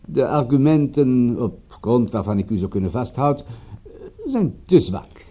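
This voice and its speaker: Donkere, aarzelende Franse inspecteur. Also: male voice, mid-range voice, expressive, mellow, highs cut off. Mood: apologetic, evasive. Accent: French. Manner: halting, polite speech with inverted word order. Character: courteous, hesitant French police inspector. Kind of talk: radio drama acting.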